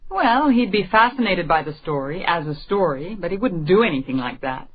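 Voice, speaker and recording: A woman's voice: on the low side, lively intonation, fairly quick, husky, dull audio. Wry, world-weary middle-aged woman. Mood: uneasy. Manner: sardonic, teasing. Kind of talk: radio drama acting.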